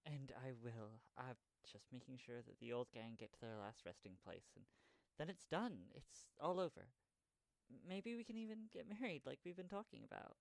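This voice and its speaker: A man playing a weary, regretful young man devoted to his girlfriend in an audio drama, with a gravelly voice. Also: mid-range voice, moderate pace, dry recording, natural voice. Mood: regretful, reassuring.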